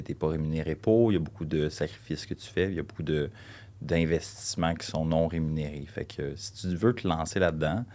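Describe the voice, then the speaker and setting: A man's voice: very deep voice, a little mellow. A young man with a Québécois accent co-hosting a podcast, blunt and joking, swearing freely as he talks about running a coaching business. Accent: Québécois French. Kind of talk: casual chat.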